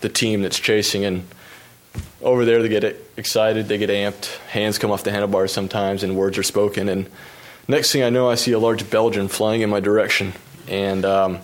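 A young American man, a pro bike racer, telling stories about his races while showing slides, relaxed and full of fillers, laughing at himself now and then. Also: on the low side, normal speed. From United States. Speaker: pro cyclist giving a talk. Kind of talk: storytelling presentation with slides.

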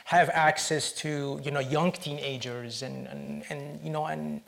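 A man from Syria, a film producer answering interview questions in a halting, earnest way with many restarts. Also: a little high, steady tone, vocal fry, a bit bright.

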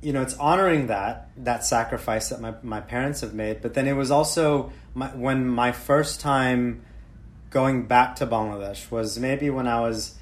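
An American man telling a personal story on a panel in a halting, reflective way. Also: medium pitch. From United States.

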